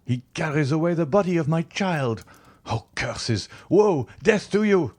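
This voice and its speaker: A man's voice: fairly high pitch, expressive, measured pace, a little mellow, pitched above the performer's own voice. A man voicing a raving, grief-mad nobleman. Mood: frantic raving. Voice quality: wild, shrieking. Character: frenzied grieving nobleman gone mad.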